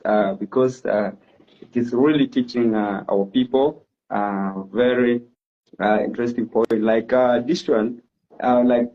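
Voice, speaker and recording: A man gives thanks and closing remarks on an online talk show, halting between phrases with frequent "uh" pauses. His voice sits in a middle pitch. The sound is dull and muffled.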